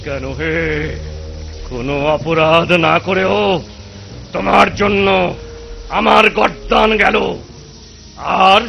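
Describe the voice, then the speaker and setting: A man voicing the vengeful, gloating ghost of a wronged man in a cartoon, gravelly, with slow menacing threats. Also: sits high, sharp tone, faint music behind, exaggerated voice. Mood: taunting, menacing. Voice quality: gravelly, creaky. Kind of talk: melodramatic cartoon voice acting.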